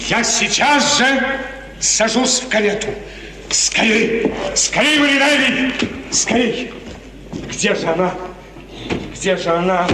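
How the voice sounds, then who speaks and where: An actor playing an impulsive, lovesick and imperious young prince, impatient and theatrical in 1950s radio drama style. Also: male voice, high voice, noisy background. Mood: impatient, commanding. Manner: theatrical, excitable, hurried commands. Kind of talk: 1950s Russian classical radio drama acting. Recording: reverberant old radio recording, distant, music behind.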